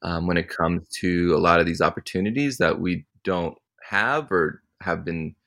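Young male interview guest, very deep voice, easygoing and reflective delivery. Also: normal speed. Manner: relaxed, thoughtful, many ums. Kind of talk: casual interview answers.

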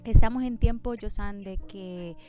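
A woman hosting a casual conversational interview, speaking in short, hesitant phrases broken by pauses. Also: medium pitch, music in the background. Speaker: interview host.

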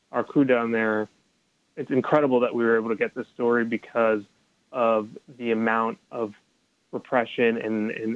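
A man's voice: mid-range voice, dry recording. Hesitant man on a tinny phone line. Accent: Colombian American. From United States. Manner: hesitant, many restarts and fillers. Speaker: documentary filmmaker. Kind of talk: interview answers.

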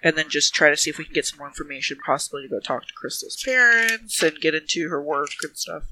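High-pitched, very bright-voiced male player. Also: fast talker, slight background noise, low-quality recording. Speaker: player in a tabletop role-playing game. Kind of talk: casual improvised in-character dialogue.

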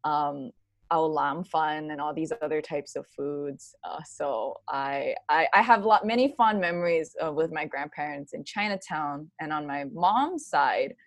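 A young woman from Hawaii, a graduate student, talking in a friendly, relaxed way as a guest over a video call. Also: low-pitched, measured pace, no echo.

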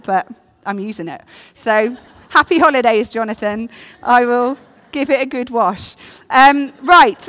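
Chatty woman with a croaky voice. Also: high-pitched, fairly bright tone. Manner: chatty, self-deprecating, laughing asides. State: croaky voice from illness. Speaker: preacher. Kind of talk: all-age church talk. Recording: long church reverb.

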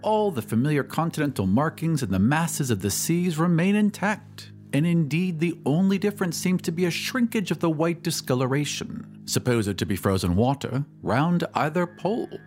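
A man's voice: a little high, expressive, normal speed, few pauses, darkish tone, faint music behind, voice pushed up, close to an everyday voice. A man voicing a detached, scholarly Martian astronomer in an audio drama, with a clinical, precise and lofty manner. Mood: detached wonder. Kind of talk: audio drama character reading.

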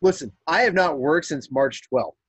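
A man's voice: fairly high pitch, expressive, normal speed, a bit bright, slightly rough, no room sound, highs cut off. A man in casual podcast chat, joking crudely, calling in from a camper trailer. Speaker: podcast guest.

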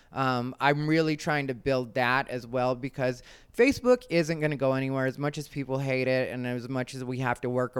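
Smooth, clear-voiced American man chatting casually. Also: medium pitch, no echo. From Arizona, United States. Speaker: comedian and show host. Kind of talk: casual solo vlog chat.

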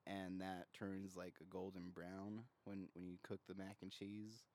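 Low-voiced, laid-back man chatting. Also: flat delivery, unhurried, darkish tone. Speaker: podcast co-host. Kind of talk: casual comedic banter.

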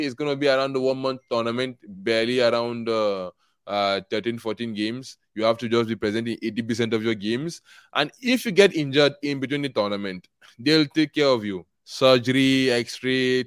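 A man's voice: mid-range voice, moderate pace, clear, resonant tone, no room sound. A young man from Nigeria, chatting earnestly as a podcast guest, rambling with fillers and easy laughs. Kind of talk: casual interview chat.

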